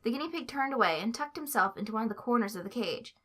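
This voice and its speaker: A woman narrating a story aloud in an expressive, scripted reading style. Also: a little high, quick pace. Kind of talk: expressive fan fiction reading.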